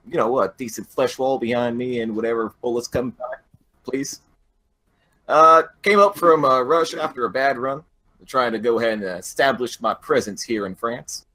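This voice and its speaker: American male player, bright tone, jokey banter over voice chat. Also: mid-range voice. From United States. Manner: joking, quick banter, drawling in-character intro. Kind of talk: casual tabletop game chat.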